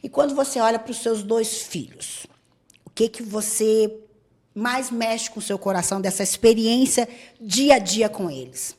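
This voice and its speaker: A woman's voice: a little high, normal speed. A woman hosting a podcast interview who asks personal questions and answers with anecdotes of her own. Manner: warmly engaged, tells anecdotes. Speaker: podcast host. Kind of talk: interview conversation.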